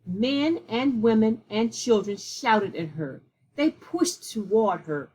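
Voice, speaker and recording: A woman with a slightly husky voice reading a children's picture book aloud in a measured, deliberate way. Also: on the high side, lively intonation, dark tone, rough recording. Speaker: read-aloud storyteller.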